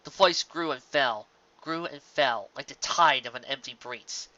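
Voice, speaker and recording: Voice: medium pitch, unhurried, pushed and bright. A reader narrating a scripted fan fiction story. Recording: slightly dull, lo-fi recording.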